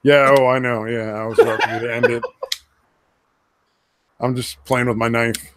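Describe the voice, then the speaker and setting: A man's voice: mid-range voice, steady tone, normal speed, a bit bright, a little hiss or hum. A man co-hosting a casual podcast from home over voice chat, crude and rambling, laughing often. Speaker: podcast co-host.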